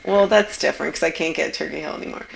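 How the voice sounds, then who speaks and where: A woman's voice: deep voice, fast talker, gravelly, roomy sound, slight background noise. Chatty woman with a Pennsylvania accent. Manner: chatty, enthusiastic. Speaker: podcast co-host. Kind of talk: casual chat.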